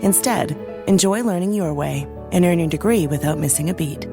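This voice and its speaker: A woman's voice: lowish voice, normal speed. A woman announcer reading a scripted advertisement. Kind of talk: scripted ad read. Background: music.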